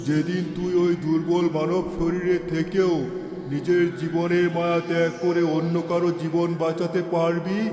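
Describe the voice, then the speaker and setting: A man's voice: fairly high pitch, monotone, normal speed, natural voice. A man voicing a cruel, gloating cartoon wizard who taunts theatrically as he lays curses. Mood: menacing. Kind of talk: cartoon fairy-tale villain acting. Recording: echoey hall reverb, music behind.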